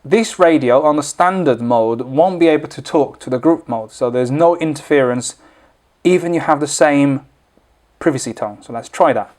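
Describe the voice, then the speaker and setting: A man's voice: fairly high pitch, normal speed, a little mellow. A man presenting an informal, unscripted product tutorial, explaining things step by step as he demonstrates.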